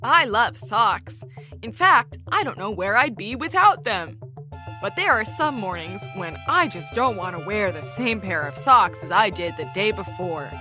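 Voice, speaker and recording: A woman playing an earnest, prim investigative podcast host, narrating and interviewing in a measured, composed way. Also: medium pitch, lively intonation, sharp tone, soft background music. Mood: scripted ad cheer. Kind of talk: scripted true-crime parody narration.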